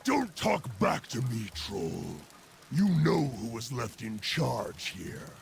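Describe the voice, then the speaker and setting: Gravelly, belligerent orc war chief. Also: male voice, medium pitch, sing-song, takes it slowly, a little hiss or hum, full character voice. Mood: menacing contempt. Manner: commanding, contemptuous, threatening. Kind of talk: video game cinematic acting.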